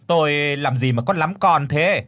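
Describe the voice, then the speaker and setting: Guy putting on a nagging mom, high and sharp, sarcastic. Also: a little slow, faint music behind. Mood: scornful. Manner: mocking, scolding, high and loud. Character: shrill sneering middle-aged mother, scornful.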